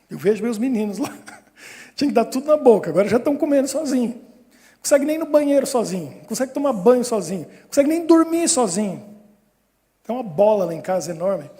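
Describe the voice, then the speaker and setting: A man, a pastor giving a sermon with lively storytelling and frequent chuckles. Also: sits high, big-room sound. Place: church hall.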